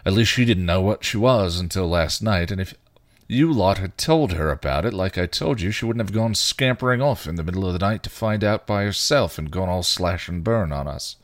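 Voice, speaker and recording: A man voicing a bitter, guilt-ridden male vampire, rough and full of crude slang. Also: low voice, lively intonation, normal speed, no room sound, clean studio sound. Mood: angry. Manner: snarling, rough, clipped slang. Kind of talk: fan fiction reading.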